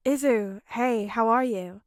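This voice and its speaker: A woman's voice: fairly high pitch, takes it slowly, no room sound. Woman reading as a dry, awkward teenage boy.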